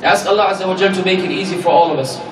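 A man in his thirties preaching a sermon in an emphatic, exhortatory way with deliberate repetition. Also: high-pitched, fairly even intonation. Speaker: preacher giving Friday sermon. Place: indoor room in a mosque. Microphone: stand microphone in front of speaker. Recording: echoey, noisy room, distant-sounding.

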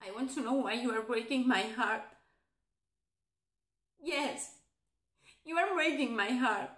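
A woman's voice: a little high, takes it slowly, fairly bright tone. An actress playing a heartbroken young woman being dumped who feigns indifference, in a theatrical monologue. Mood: hurt, defensive. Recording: echoey, roomy sound.

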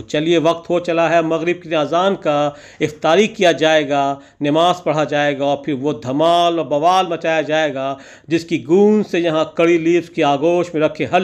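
A man narrating a vlog as a scripted voice-over, with ornate, poetic and theatrical phrasing. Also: on the high side. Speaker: vlogger narrating.

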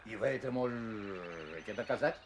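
A man's voice: on the low side, animated, moderate pace, a little hiss or hum, natural voice. A man playing a polite, curious host who prompts the tale in a children's audio story, formal and courteous. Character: polite, curious male interviewer directing the tale.

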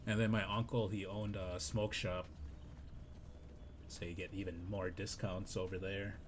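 A man in his thirties talks at an easy, drawn-out pace, his voice hoarse and a touch raspy. He sits a little low in pitch and rambles calmly between game remarks and stories. A steady noisy hiss runs under everything. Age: 30s. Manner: laid-back, unhurried, drifting between game remarks and stories. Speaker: game streamer. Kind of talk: casual live-stream chat while gaming.

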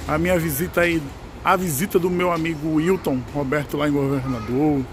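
Brazilian guy doing a casual bus vlog, kinda high voice, lots of noise around him. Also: fairly even intonation, fairly quick. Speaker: bus travel vlogger. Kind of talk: casual vlog commentary, naming buses as they pass. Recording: noisy outdoor handheld recording with vehicle rumble.

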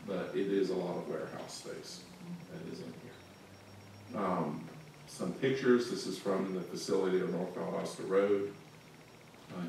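A male planning staff member with a Southern US accent, reading out conditions in a measured, methodical way at a public meeting. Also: low-pitched, creaky, darkish tone. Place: large council meeting room. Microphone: room recording from far camera. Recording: echoey room, distant mic, faint noise.

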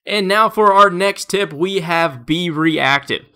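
A man with a stuffed-up nose narrates a game tips video from home. His voice sits high and sounds bright and forceful, with an upbeat, steady delivery from one tip to the next. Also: slow, smooth. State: stuffed up, congested. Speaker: gaming video creator. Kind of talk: scripted game tips voice-over.

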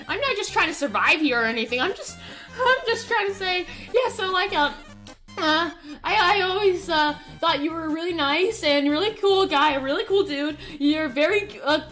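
A woman with a very high, animated voice roleplays her way through a video game. She talks hesitantly, stammering as she goes, in a bright tone with faint music behind her. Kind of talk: in-character game roleplay commentary.

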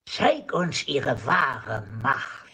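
A man playing a bumbling, sullen wizard sidekick in an audio drama, with a raspy, gravelly voice. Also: mid-range voice, wildly swooping pitch, drawn-out words, pushed and bright, full character voice. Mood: incanting.

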